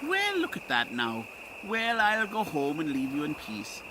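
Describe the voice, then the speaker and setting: A good-hearted, blustery Irish parish priest , played by a man, talks in a fairly high male voice. The style is audio drama folk tale, with clear noise in the recording. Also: wildly swooping pitch, unhurried. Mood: won over.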